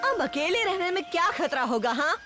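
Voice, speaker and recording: A woman voicing a bold, headstrong jungle boy in a Hindi cartoon dub, lively and quick to argue. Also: very high voice. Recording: studio voice under loud cartoon music.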